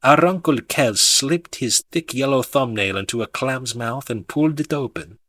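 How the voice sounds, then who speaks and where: A man narrating an audiobook in a measured, dramatic storytelling manner. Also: medium pitch, sharp tone, no room sound. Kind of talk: expressive scripted audiobook narration.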